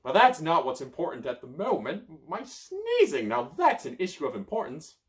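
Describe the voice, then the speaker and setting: A man's voice: sits high, wildly swooping pitch, moderate pace, gravelly, voice pushed up, full character voice. A man voicing a grouchy, boastful old male wizard stuck in a bush, reading a storybook aloud. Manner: pompous, cranky, sneezy.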